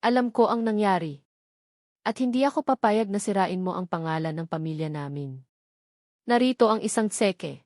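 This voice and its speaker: A woman's voice: mid-range voice, moderate pace, darkish tone, no room sound, lightly acted. Woman narrator doing a cold haughty rich mom, older, bossy and threatening, dry close sound, storybook drama. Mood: cold, commanding. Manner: icy, commanding.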